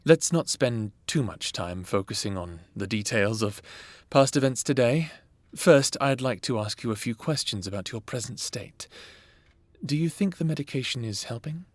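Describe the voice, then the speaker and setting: A man playing a patient government therapist in an audio roleplay, with measured, clinical and formal diction, close on the mic. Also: mid-range voice, expressive, no room sound, close to an everyday voice. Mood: calm, clinical. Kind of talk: cinematic audio roleplay acting, addressing the listener. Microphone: close, dry studio mic.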